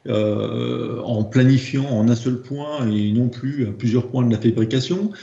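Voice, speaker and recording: A man's voice: medium pitch, fairly even intonation, unhurried, creaky, warm tone. A man with a French accent explaining slides as a trainer, hesitant and often repeating words as he goes. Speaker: presenter, trainer in process improvement. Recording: dull, echoey room recording.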